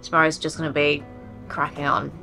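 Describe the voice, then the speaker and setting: A woman's voice: sits low, level tone, fairly quick, gravelly, pushed and bright, soft background music. A woman in her thirties talking casually to a handheld camera on the deck of a catamaran, easygoing and matter-of-fact. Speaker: sailing vlogger. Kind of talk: casual vlog narration. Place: on deck of a catamaran at sea, outdoors. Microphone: handheld camera at arm's length.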